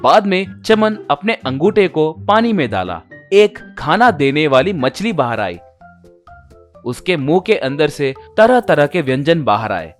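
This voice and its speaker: A man's voice: fairly high pitch, sing-song, unhurried, soft background music. A man narrating a scripted cartoon moral tale as a storyteller.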